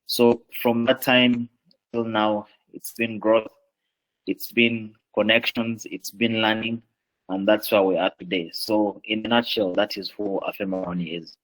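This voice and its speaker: A young man with a Ugandan accent speaks in a low-pitched voice. He is a guest on a professional panel, introducing himself and his background. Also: level tone, a little slow, fairly bright tone, poor audio. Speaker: panelist, aviation business development manager.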